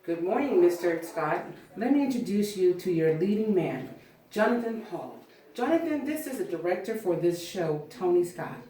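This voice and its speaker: A woman reading the part of a brisk, friendly first assistant director at a film script table read. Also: fairly low pitch, lively intonation, mellow, lightly acted. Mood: polite. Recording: roomy, distant mic, faint noise, clicks.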